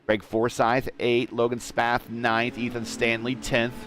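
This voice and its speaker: A man calling play-by-play for an online sim racing broadcast, steady through caution laps and rising in pitch and volume at restarts. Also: unhurried, slight background noise. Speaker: lead race commentator.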